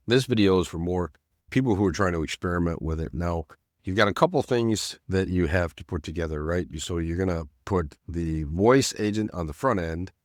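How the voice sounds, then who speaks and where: Deep-voiced man, nasal from a cold. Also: darkish tone, dry recording, studio quality. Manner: casual, rambling, many restarts and "you know". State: getting over a cold. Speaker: self-storage business owner teaching automation. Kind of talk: screen-share tutorial narration.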